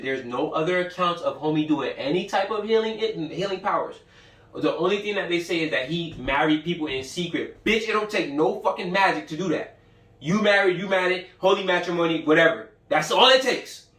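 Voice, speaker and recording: An American man with a fairly high voice lectures on a livestream from an echoey room, a bit away from the mic. He talks in heavy slang, swears constantly and drives points home by repeating them emphatically. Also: fairly bright tone, distant mic. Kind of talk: informal, profane livestream lecture.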